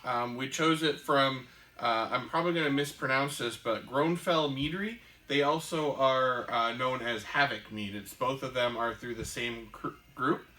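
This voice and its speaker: A woman's voice: rumbling low, moderate pace, sharp tone, rough recording. A woman co-hosting a casual chat show, rambling with lots of fillers and easy enthusiasm. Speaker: podcast co-host. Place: shop room indoors.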